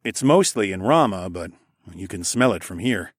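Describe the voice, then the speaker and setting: A man's voice: low voice, expressive, moderate pace, no echo, studio quality. A man playing a confident, reassuring fantasy lord with an assured, plain-spoken manner in an audiobook. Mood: serious.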